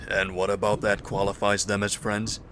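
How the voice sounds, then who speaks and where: Casting: male actor for wary, authoritative security type, lowish voice, grave drama delivery, noisy track. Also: monotone, lightly acted. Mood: suspicious. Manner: serious, deliberate, authoritative. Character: guarded, suspicious man with security training. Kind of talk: dramatic audio drama acting.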